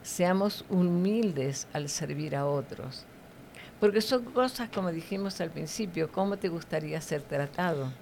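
A woman's voice: on the low side, a little slow, gravelly, darkish tone, slight background noise. A middle-aged woman giving devotional teaching in conversation, didactic and often checking for agreement with short tag questions.

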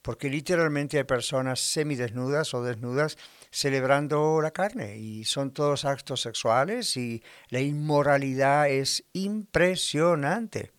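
A man's voice: mid-range voice, a little slow, smooth, dry recording. Argentine-born man preaching conversationally. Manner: conversational preaching, rhetorical questions. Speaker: pastor. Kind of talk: sermon.

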